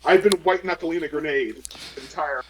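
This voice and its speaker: A man's voice: fairly high pitch, moderate pace, a little hiss or hum, rough recording. A man playing a tabletop role-playing game, casually improvising what his character does in a rambling, profane way.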